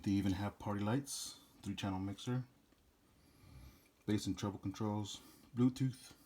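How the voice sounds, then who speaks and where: A man's voice: fairly low pitch, fairly even intonation, measured pace, dark tone. A man giving a casual, plain-spoken product unboxing in the informal way of a working DJ presenter. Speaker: DJ rental business presenter.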